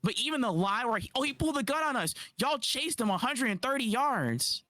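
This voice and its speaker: Animated man streaming reaction commentary. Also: very high-pitched, dry recording, clean studio sound. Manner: animated, incredulous, rapid rebuttals.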